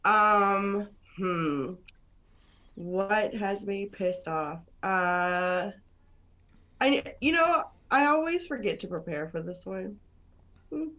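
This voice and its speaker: A congested woman co-hosting a casual podcast with a sardonic edge. She talks slowly and takes her time, and her pitch sits in the middle range for a woman. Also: lively intonation, sharp tone. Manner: slow, sardonic, swears freely. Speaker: podcast co-host.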